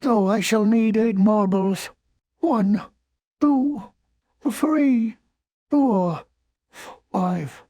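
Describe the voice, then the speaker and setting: A woman voicing a gentle, naive teddy bear who muses slowly in a children's storybook. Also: medium pitch, no echo. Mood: hopeful. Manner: slow, musing, naive. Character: gentle, simple, kindly male teddy bear. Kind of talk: children's storybook character acting.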